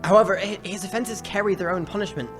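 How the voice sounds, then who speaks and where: A man playing a cheerful young nephew at a party, jovial and quick to laugh, in a live radio play. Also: high voice, over music, lightly acted. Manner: jovial, laughing, warm-hearted.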